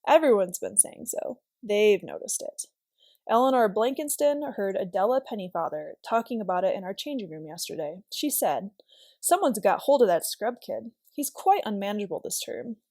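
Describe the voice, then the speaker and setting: A woman's voice: medium pitch, lively intonation, moderate pace, dark tone, dry recording. A woman voicing an upset, hot-tempered schoolgirl with blunt, old-fashioned phrasing in a storybook reading. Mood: confiding.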